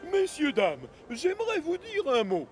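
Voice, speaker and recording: Pompous, swooping railway controller. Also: male voice, very high voice, wildly swooping pitch, measured pace, soft background music, exaggerated voice. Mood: announcing. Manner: formal, pompous announcing. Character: pompous, stern but fair male railway controller.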